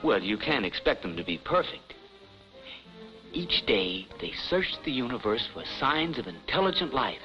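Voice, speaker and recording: A man's voice: medium pitch, big pitch swings, normal speed. A man playing a whimsical storybook narrator for 1950s television, mock-solemn and theatrical. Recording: dull vintage 1950s TV soundtrack. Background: loud music.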